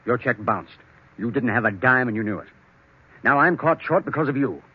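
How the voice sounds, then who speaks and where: An actor playing a hard, scheming ex-lawyer in an old-time radio drama, blunt, needling and insinuating. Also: male voice, medium pitch, lively intonation, brisk, husky. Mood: demanding. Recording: muffled old radio recording.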